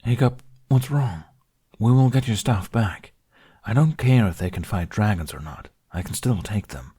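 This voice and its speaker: A man's voice: lowish voice, animated, moderate pace, mellow, slightly rough. A man voicing an earnest, protective male dragon in an audiobook, insistent and emphatic. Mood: concerned.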